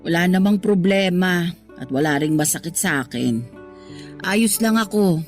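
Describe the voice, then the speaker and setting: A woman's voice: fairly low pitch, lively intonation, moderate pace, a little mellow, faint music behind, natural voice. A woman with a Filipino accent acting a hurt, defensive middle-aged mother in a radio drama, wounded and plaintive. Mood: sad, tearful.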